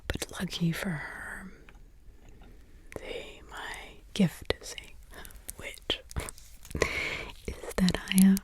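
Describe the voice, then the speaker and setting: A woman voicing an old forest witch, a grumbling yet doting mother and grandmother, in rambling, halting whispers full of fillers. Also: medium pitch, flat delivery. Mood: hushed musing. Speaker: ASMR roleplay creator. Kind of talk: ASMR roleplay whispering.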